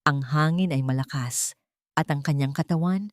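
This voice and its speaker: Low-voiced woman narrating a dramatic story. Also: normal speed, no room sound, studio quality.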